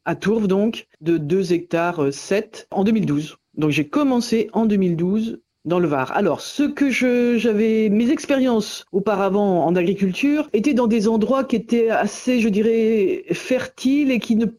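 Female interviewee, middle-aged, from Normandy. Hesitant, thoughtful phrasing, mellow timbre, telling her farming life story. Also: mid-range voice, moderate pace, hardly any pauses. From Normandy, France. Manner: hesitant, searching for words. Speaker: interviewee, farmer and forest garden founder. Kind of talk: radio interview, personal account. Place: on a telephone call for a radio show.

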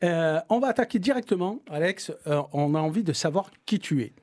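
A man's voice: high-pitched, expressive, fairly quick, darkish tone. A man hosting a humorous talk show, playful and teasing, with frequent fillers. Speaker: podcast host.

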